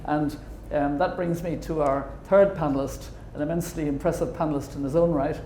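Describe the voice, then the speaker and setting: Male panel moderator, halting and friendly, mellow tone, roomy recording. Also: a little high, fairly even intonation, a little hiss or hum. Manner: hesitant, many ums and uhs, friendly joking.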